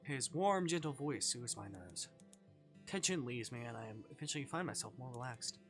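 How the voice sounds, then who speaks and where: A man's voice: mid-range voice, lively intonation, moderate pace, natural voice. A man voicing an uneasy, confused young woman who narrates a visual novel in the first person, over music. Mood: relieved.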